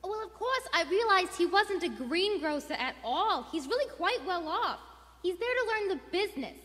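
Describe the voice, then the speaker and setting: A woman playing a gentle, trusting lady, conversational and indulgent, in a live radio play. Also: extremely high pitch, big pitch swings, normal speed, heavily acted. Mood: chatty. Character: gentle, trusting grown woman chatting with a boy. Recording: echoey theater hall.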